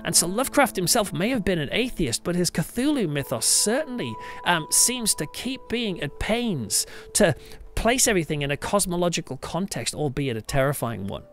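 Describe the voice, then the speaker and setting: A man presents a conversational solo podcast with a highly animated voice. His pitch moves widely as he makes points emphatically and reflectively, like someone telling a story. Soft music sits behind him. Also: moderate pace. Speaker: podcast host and church pastor.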